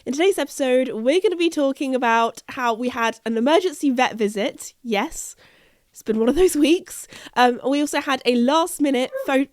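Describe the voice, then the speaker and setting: A British woman hosting a podcast, chatting in a rambling way with a croaky, husky voice from a chest infection. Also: sits high, normal speed, no echo, studio quality. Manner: chatty, rambling, self-interrupting. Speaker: podcast host. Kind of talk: casual podcast chat. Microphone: podcast microphone.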